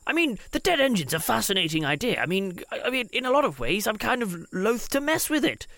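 A man's voice: sits high, big pitch swings, brisk, bright, forceful tone, no echo. A man improvising in character as a player in a tabletop role-playing show, wordy and wry, often repeating himself as he reasons.

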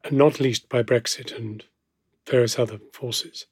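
A man's voice: mid-range voice, steady tone, measured pace, a little mellow, breathy edge, no echo. An older man hosting a studio interview, who asks probing questions in a formal, courteous way. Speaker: radio interviewer, host.

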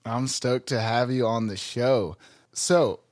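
A man's voice: mid-range voice, slow pace, darkish tone. A man hosting a podcast interview, upbeat and a little self-deprecating. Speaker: podcast host.

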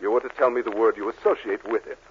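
A man's voice: fairly low pitch, steady tone, fast, narrow, tinny audio, lightly acted. A man acting a pompous, clinical middle-aged psychiatrist in a 1940s radio comedy. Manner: pompous, clinical, growing exasperated. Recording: scratchy 1940s radio broadcast with hiss.

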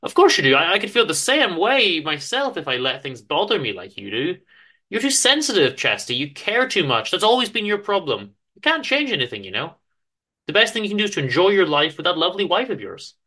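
Man acting cheerful old pal, chummy, advising, swooping pitch, bright tone, story reading. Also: big pitch swings, fairly quick, heavily acted. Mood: reassuring.

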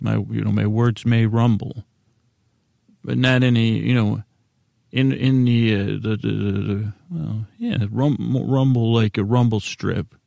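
A man's voice: fairly low pitch, vocal fry, warm tone, no room sound. A man hosting a bedtime podcast in a soothing, lulling way, meandering and stumbling over his words as he goes. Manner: meandering, halting, word-fumbling. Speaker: podcast host. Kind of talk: rambling bedtime podcast monologue.

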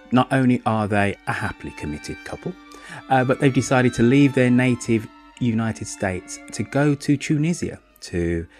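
A man hosting a podcast interview, chatty and self-deprecating, with rambling questions. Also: medium pitch. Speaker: podcast host. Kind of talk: podcast interview over a remote call.

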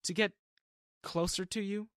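A man's voice: high-pitched, fairly even intonation, measured pace, a bit bright, dry recording, studio quality, pitched above the performer's own voice. A man voicing a scheming, flirtatious middle-aged woman who talks in a wheedling, insistent way. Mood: coy.

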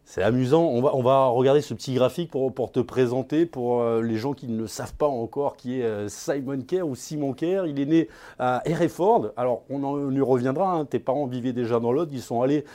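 A man's voice: medium pitch, a little fast, mellow. A man hosting an interview, introducing his guest and prompting him with chatty questions. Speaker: host.

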